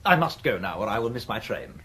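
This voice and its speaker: An actor playing a pompous, aggrieved private secretary with an English accent, speaking in a stiff, formal way in a radio comedy. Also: male voice, lowish voice, big pitch swings, brisk, bright, forceful tone, breathy edge, full character voice. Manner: formal, stiff, self-important. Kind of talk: radio comedy drama acting.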